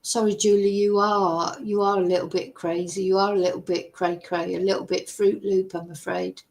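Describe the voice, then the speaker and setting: A woman's voice: mid-range voice, little pitch movement, a little mellow, low-quality recording. A woman hosting a casual true crime chat, reading out news reports and adding her own asides. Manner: slow, chatty, reads news text aloud with asides. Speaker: true crime channel host. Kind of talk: casual commentary over a live news stream.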